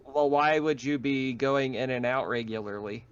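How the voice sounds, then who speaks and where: A man's voice: mid-range voice, normal speed, clean tone, dull audio. A man talking casually as game master of an online tabletop roleplaying game. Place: remote online voice chat.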